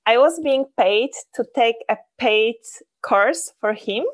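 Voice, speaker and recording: Bright-pitched, enthusiastic woman podcast host. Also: takes it slowly. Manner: enthusiastic, with loose non-native phrasing. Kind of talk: casual podcast chat.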